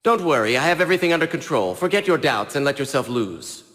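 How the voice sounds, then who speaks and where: An actor playing a haughty, eccentric young genius villain in a video game, with a light youthful voice and precise, wordy, theatrical diction. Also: male voice, on the high side, expressive, fast talker, a bit bright, echoey room. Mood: smug, dismissive. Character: small, prim, eccentric genius villain, smug and condescending.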